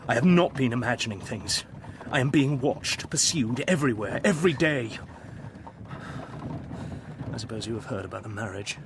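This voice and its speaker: Male actor as young Victorian art teacher, English accent, earnest, uneasy, audio drama, clearly noisy background. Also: medium pitch, lively intonation, normal speed, lightly acted. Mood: agitated. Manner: urgent, earnest, weary. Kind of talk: period radio drama acting. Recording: street ambience and noise behind.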